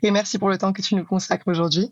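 A woman's voice: mid-range voice, fairly even intonation, fast talker, dull audio. A woman in her thirties hosting a podcast interview, easygoing and hesitant with frequent fillers in conversation. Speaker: podcast host. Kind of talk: scripted podcast intro, then casual interview chat.

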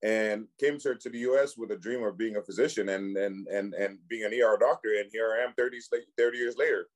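Low-voiced man who talks with frequent restarts. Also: fairly even intonation. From Cameroon. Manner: halting, many restarts and repeated words. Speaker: physician and clinic founder, guest. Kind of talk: casual podcast conversation.

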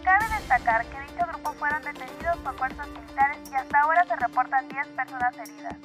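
Woman playing earnest news anchor, high voice, formal official delivery, radio drama, music behind. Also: brisk, fairly bright tone. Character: earnest pro-government female TV news anchor praising the army.

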